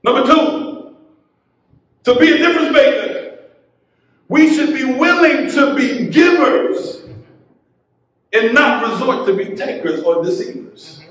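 A middle-aged man preaching a sermon from a church pulpit, building into shouted, half-sung exhortation. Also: very high voice, slow, long pauses. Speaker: pastor. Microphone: pulpit mic, filmed by a far camera. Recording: very echoey church from a distance.

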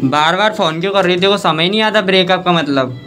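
High-pitched young man, whiny. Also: a little fast, music in the background. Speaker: prankster making fake phone calls. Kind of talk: loud staged phone-call prank. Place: inside a crowded metal passenger lift.

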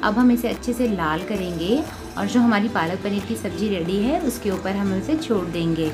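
A woman's voice: mid-range voice, lively intonation, moderate pace. A woman with an Indian accent giving step-by-step cooking instructions as a home recipe host, often addressing listeners directly. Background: prominent background music.

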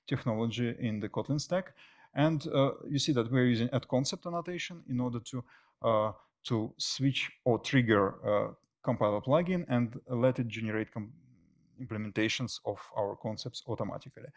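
A middle-aged man presenting technical material as a conference speaker, often pausing mid-phrase. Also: medium pitch. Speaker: conference speaker, software engineer. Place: indoor stage at a tech meetup.

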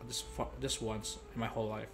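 A young man giving casual gameplay commentary as a streamer, with halting, repetitive phrasing. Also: lowish voice, flat delivery, a crowd in the background. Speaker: game streamer. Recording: clear background noise, game ambience.

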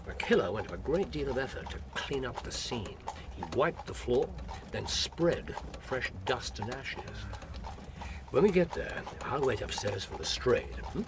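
An English actor playing an incisive, brisk male detective with dry authority in a radio drama. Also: mid-range voice, animated, background noise. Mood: brisk, analytical. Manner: incisive, clipped, questioning.

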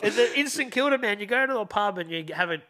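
A man's voice: sits high, expressive, quick pace, sharp tone. An Australian man hosting a podcast, chatting in a laid-back way and laughing often. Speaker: podcast host. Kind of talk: casual chat.

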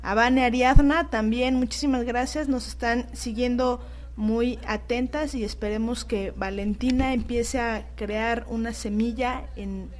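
Female radio guest, Mexican accent, fairly high pitch, unscripted live talk. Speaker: radio show guest, radio drama actress.

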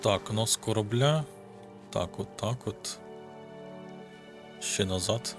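Low-voiced Ukrainian man commentating gameplay. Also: slow pace. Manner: offhand, muttering to himself, casually swearing. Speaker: gaming video host. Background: game music.

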